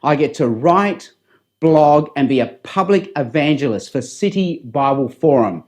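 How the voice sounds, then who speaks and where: A middle-aged man with an Australian accent giving a personal testimony as a public speaker, in a deliberate, earnest way. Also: fairly high pitch, expressive. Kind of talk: testimony addressed to camera.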